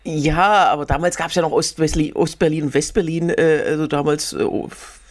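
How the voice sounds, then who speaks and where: Man from Berlin, podcast co-host, somewhat high pitch, casual and hesitant. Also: normal speed. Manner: talkative, hesitant with fillers, laughs easily. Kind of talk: casual chat.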